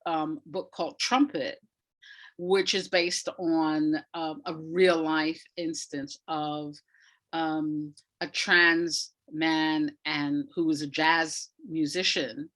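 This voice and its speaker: A woman with a fairly low voice speaks at a very unhurried pace as an interview guest. She is reflective and emphatic, leaving pauses between phrases as she explains her views conversationally. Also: level tone, a bit bright. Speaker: interview guest, arts practitioner.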